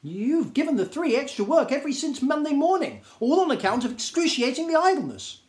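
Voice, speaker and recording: A man's voice: very high voice, very animated, fairly quick, pitched above the performer's own voice. A man voicing a lofty, commanding desert djinn from a children's storybook, emphatic and theatrical in his scolding. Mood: warning.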